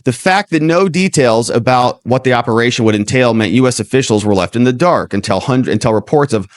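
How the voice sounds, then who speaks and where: An American man hosts a news commentary stream in a mid-pitched voice that swings widely. He moves between reading reports and casual, mocking asides with an incredulous edge. The sound is dry, with little room echo. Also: expressive, brisk. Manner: acts out imagined dialogue, mocking and incredulous. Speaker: host commentator of a livestream news show.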